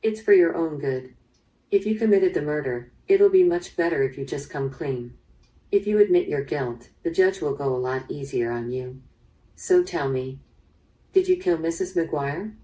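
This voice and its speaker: A woman playing a probing, businesslike police detective in an interactive radio drama, measured in her questioning. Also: sits low, expressive, warm tone. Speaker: voice actor in interactive audio drama. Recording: played through speaker, slightly distant.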